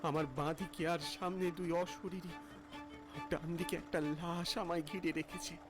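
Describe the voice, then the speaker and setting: A man playing a high-voiced, haunted horror-story narrator. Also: steady tone, measured pace, music in the background, natural voice. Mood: dread, narrating. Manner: dramatic, stammering when afraid. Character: frightened man narrating his own ghostly night ride. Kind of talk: scripted first-person horror storytelling.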